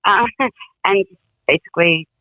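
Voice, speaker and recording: A woman's voice: mid-range voice, measured pace, no room sound. A woman from England, a guest songwriter, telling stories in a rambling, casual way over a phone line. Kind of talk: casual interview.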